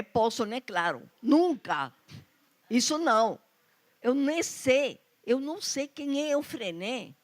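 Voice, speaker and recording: Woman, strong foreign accent, literary panel speaker, storytelling, quoted dialogue acted out. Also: a little high. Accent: foreign accent in Portuguese. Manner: anecdotal, animated quoting of dialogue. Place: festival stage before an audience.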